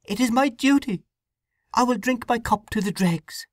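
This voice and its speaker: A woman's voice: a little high, normal speed, no echo, clean studio sound, pitched above the performer's own voice, natural voice. A woman voicing a young, distraught woman torn by conscience, pleading and breathless. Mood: resolute.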